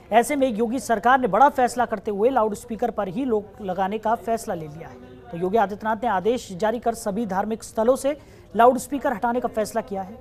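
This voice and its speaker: A woman news anchor reading a scripted report and introducing guests in a brisk, formal manner. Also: a little high. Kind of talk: scripted news narration and hosting.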